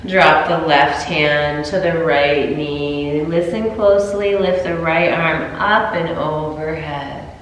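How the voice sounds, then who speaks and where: A yoga teacher with a stuffy sinus cold talks her class through breathing and stretches in a very low, slow voice. She clears her throat now and then. She sits some way from the camera, and the room gives a strong, long echo. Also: far from the mic, a little hiss or hum. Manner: calm, gentle cues with throat clearing. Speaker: yoga instructor. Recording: roomy echo, voice from afar.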